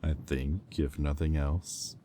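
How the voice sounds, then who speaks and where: A man acts out a predatory male demon for a roleplay audio drama, with an extremely low, dark voice. He toys with his captive in slow, silky taunts, smug and in full control. There is faint noise behind him. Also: flat delivery. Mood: smug, amused. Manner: slow, teasing, silky taunting with deliberate pauses.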